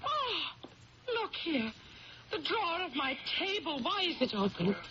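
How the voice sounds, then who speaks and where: A woman's voice: very high-pitched, sing-song, unhurried, heavily acted. An actress playing a distraught, agitated woman upset over her ransacked room, gasping and exclaiming in a 1970s radio drama. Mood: distraught, alarmed. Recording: noisy lo-fi 1970s radio recording.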